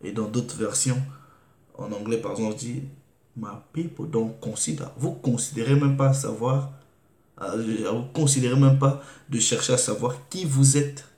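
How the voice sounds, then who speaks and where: Slow gravelly guy preaching, reads scripture and asks questions, echoey room. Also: mid-range voice, warm tone. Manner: slow, deliberate reading with rhetorical questions. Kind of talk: sermon with scripture reading.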